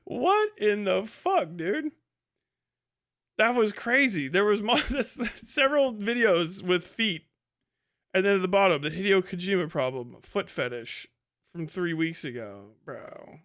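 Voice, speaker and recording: A man's voice: high voice, sing-song, unhurried, fairly bright tone. A man hosting a casual livestream commentary, swearing heavily in an exasperated, incredulous way. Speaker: livestream host.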